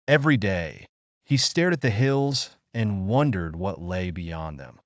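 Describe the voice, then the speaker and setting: A man reads a children's bedtime story in a low voice. His delivery is lively, with pitch that rises and falls a lot, and the recording is close and dry. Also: measured pace, no room sound, lo-fi sound.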